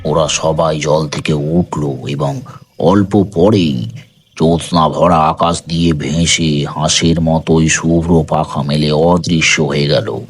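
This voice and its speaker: Very deep, slow male storyteller. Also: steady tone, slight background noise. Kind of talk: scripted dramatic narration.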